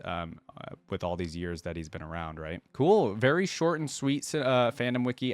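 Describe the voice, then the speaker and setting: A man's voice: on the low side, clear, resonant tone, dry recording. A man giving casual commentary as he reads aloud and reacts, hosting a game reaction video.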